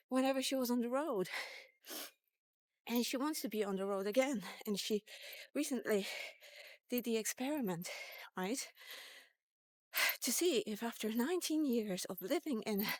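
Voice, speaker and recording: A woman in her fifties telling a personal story in a casual, rambling vlog style, halting and informal as she thinks aloud. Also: a little high, no room sound. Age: 50s. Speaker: vlogger. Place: walk-and-talk recording.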